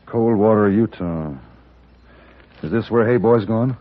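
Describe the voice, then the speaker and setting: An actor playing a cool, courteous, steely gun-for-hire in a 1950s Western radio drama, speaking in a measured, dry, formal way. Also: male voice, on the low side, close to an everyday voice. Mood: polite, probing. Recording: muffled vintage radio recording.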